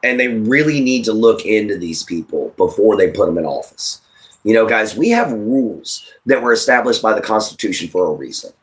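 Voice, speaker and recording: Man, late thirties, American accent, lowish pitch, livestream host, rambling opinionated chat, talks straight to listeners. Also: animated, brisk. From United States. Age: late 30s. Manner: addresses listeners directly, rambling and repetitive.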